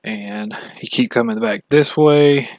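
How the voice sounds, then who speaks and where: A man from the United States giving a casual, halting, matter-of-fact walkthrough as a fishing channel host. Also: medium pitch, darkish tone, no echo. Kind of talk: casual screen-share map walkthrough.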